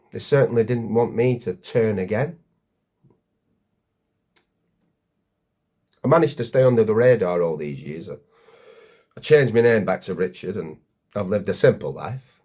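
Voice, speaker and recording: An actor playing a weary, bitter, divorced former Lord Mayor in a wry, confiding comic monologue. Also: male voice, mid-range voice, a little mellow, lightly acted. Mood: resigned, reflective.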